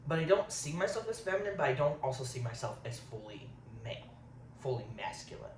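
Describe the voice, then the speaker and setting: A man in his thirties talks casually while eating on camera. He rambles from topic to topic, fills gaps with um, and keeps an everyday middle pitch. He sits back from the mic in an echoey room with a faint hiss. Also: very animated, quick pace. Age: 30s. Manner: rambling, says um a lot. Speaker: vlogger. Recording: roomy, distant, faint hiss.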